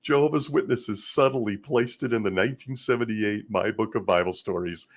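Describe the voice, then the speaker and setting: Deep-voiced male host, hoarse and scratchy from being sick, wry and sarcastic delivery. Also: brisk. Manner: wry and sarcastic, chuckling often. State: hoarse from illness. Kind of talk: casual commentary vlog.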